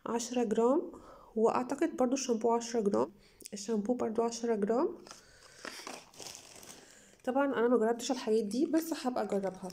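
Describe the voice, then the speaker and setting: A young woman with an Egyptian Arabic accent, slightly hoarse from a cold, chatting enthusiastically to viewers as she reviews beauty products at home. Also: fairly high pitch, fast talker, pauses often, dark tone, slight background noise. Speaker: beauty vlogger. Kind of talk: casual product haul review.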